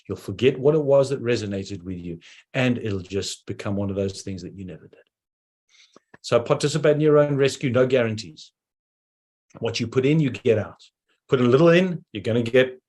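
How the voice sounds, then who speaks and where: A man with a South African accent giving a persuasive online presentation, talking in quick bursts with sudden slowdowns. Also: medium pitch, a little mellow, breathy edge.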